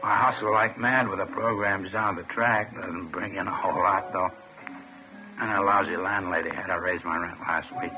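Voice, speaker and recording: An American actor playing a chatty, ingratiating police informant and racetrack hustler who talks in slang, in a 1950s radio crime drama. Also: male voice, low-pitched, fairly even intonation, normal speed, music in the background, lightly acted. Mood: ingratiating, needy. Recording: tinny, noisy vintage radio recording.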